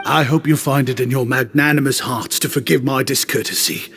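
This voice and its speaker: A man voicing a flattering young shopkeeper who talks in an effusive, ingratiating way. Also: medium pitch, a little fast, close to an everyday voice. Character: flattering young male shopkeeper, smooth talker. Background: game music.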